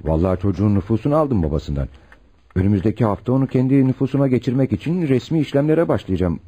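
A man's voice: mid-range voice, animated, fast, mellow, breathy edge. An actor playing an easygoing, plain-spoken uncle and adoptive father in a muffled Turkish radio play. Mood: defensive, plain. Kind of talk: Turkish radio theatre acting. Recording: dull, muffled old radio recording.

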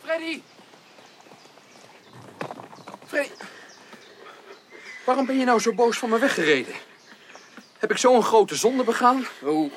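An actor playing an ardent, easily wounded young gentleman suitor in a radio drama, earnest and hesitant in formal speech. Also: very high voice, lively intonation, moderate pace, pauses often, a little hiss or hum. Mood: pleading. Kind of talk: 1980s Dutch radio drama acting.

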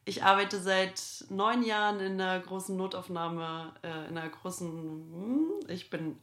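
A woman's voice: lowish voice, measured pace, a bit bright. A woman in her late thirties giving a spoken self-introduction. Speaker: emergency department worker. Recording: roomy echo.

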